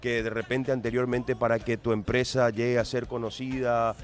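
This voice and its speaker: Upbeat radio host with soft music. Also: male voice, medium pitch, flat delivery, moderate pace. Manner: enthusiastic announcer, long wordy questions. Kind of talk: radio interview.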